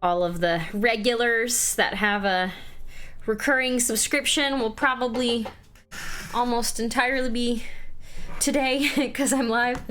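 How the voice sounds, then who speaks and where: A woman's voice: fairly high pitch, unhurried, fairly bright tone, faint music behind. A woman streamer with a congested voice, casually chatting and greeting her viewers by name. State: congested, clearing throat. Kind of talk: casual livestream chat.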